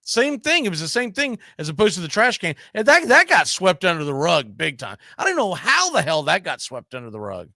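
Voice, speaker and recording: A man with a high, forceful voice talks sports casually as a show host. He is argumentative and emphatic, leaning on pointed rhetorical questions. The sound is close and dry, with very little room in it. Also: wildly swooping pitch, a little fast, vocal fry, hardly any pauses, no echo. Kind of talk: casual opinionated sports discussion.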